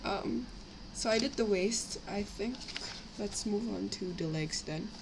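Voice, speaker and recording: A young woman with a slightly raspy voice from a cough, rambling casually as she chats on a live stream while building models. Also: medium pitch, a little slow. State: sick with a cough, itchy throat. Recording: clear background noise, home livestream.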